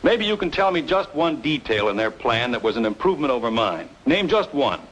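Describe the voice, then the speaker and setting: An actor playing a proud, bitter architect with a blunt, sarcastic manner in an old-time radio noir drama. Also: male voice, mid-range voice, big pitch swings, a little fast, fairly bright tone, rough recording. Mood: indignant. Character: bitter, proud male architect, sardonic and quick to anger. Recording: old radio recording with music behind.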